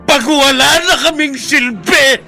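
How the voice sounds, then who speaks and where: A man playing a bitter, self-pitying old Filipino father who feels unwanted, with a raspy, strained, aggrieved voice in a radio drama. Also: very high-pitched, unhurried, pushed and bright, soft background music, exaggerated voice. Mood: hurt, outraged. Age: older. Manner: aggrieved, accusing, sulking.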